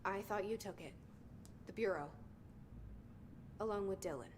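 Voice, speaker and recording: A woman's voice: mid-range voice, unhurried, darkish tone, lightly acted. A woman voicing a terse, determined young heroine. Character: determined young woman hunting for her lost brother. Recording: game audio with clear noise.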